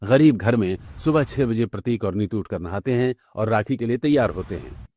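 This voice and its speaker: A man's voice: on the low side, expressive, moderate pace, mellow. A man delivering scripted narration for a cartoon story.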